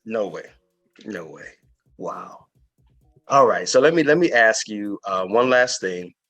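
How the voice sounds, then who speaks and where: Low-voiced man, emphatic motivational podcast host. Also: animated, unhurried, a bit bright, highs cut off, soft background music. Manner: earnest, emphatic motivational delivery with repeated phrases. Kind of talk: inspirational podcast interview.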